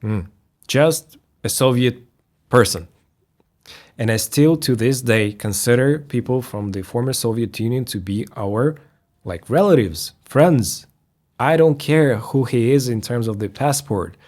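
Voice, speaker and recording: A man's voice: medium pitch, lively intonation, measured pace, darkish tone. A man from Russia with a Russian accent, a native Russian speaker, talking as an interview guest in an emphatic, blunt way. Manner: emphatic, blunt, mildly profane. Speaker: guest, tech reviewer. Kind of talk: interview conversation.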